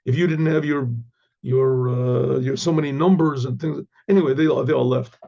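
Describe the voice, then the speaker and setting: Hoarse rabbi clearing his throat. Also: mid-range voice, fairly even intonation, mellow, highs cut off. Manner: frequent throat clearing, halting restarts. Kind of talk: Torah class lecture.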